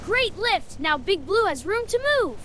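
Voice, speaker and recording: A woman's voice: very high-pitched, sing-song, unhurried, noisy background, full character voice. A woman voicing a cheerful young dinosaur rescue team leader in a children's cartoon, with an upbeat, encouraging manner. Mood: excited. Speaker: cartoon character voice. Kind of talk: children's animated adventure dialogue. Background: loud music.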